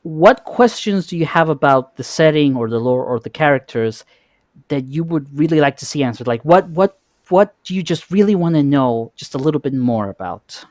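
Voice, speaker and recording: A man's voice: a little high, lively intonation, normal speed, a little mellow, no echo, studio quality. A man hosting a casual interview chat, often repeating the starts of his words as he thinks. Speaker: podcast host.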